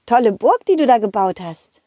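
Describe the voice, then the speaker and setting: A cheerful, loyal male dog from a children's audio play, as a woman puts him on, chatting straight to young listeners with giggles. His voice sits fairly high and swings about in a bouncy, lively melody. The sound is close and dry. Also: normal speed, dry recording. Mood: kind. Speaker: storyteller.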